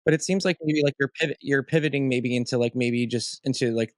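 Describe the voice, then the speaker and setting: A man's voice: medium pitch, fast, no echo. Rambling male podcast host, dry sound. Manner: rambling, many "like" fillers. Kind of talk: casual interview questions.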